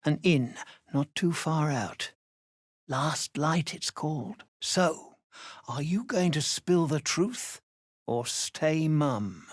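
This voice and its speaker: An actor voicing a weary but grateful deep gnome rebel in a video game, with an earnest, measured way of talking. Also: lively intonation, dry recording, studio quality. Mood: conspiratorial, guarded. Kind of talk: video game character dialogue.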